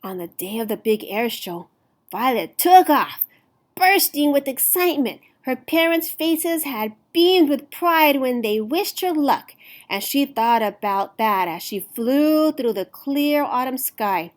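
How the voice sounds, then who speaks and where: Slow, higher-voiced woman reading a storybook. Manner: deliberate, animated storytelling with emphasized words. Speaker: storyteller reading aloud to children. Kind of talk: expressive picture book read-aloud.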